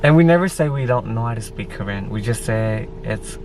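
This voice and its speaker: A young man co-hosting a casual vlog, talking in a relaxed, easygoing way. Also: lowish voice, brisk, recorded from a distance, a little hiss or hum, rough recording. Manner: relaxed, easygoing, switching between languages. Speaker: vlog co-host. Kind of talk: casual chat.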